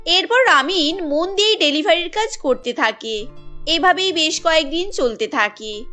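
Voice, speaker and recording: A woman's voice: sits high, sharp tone. A woman narrating a scripted cartoon story as its storyteller.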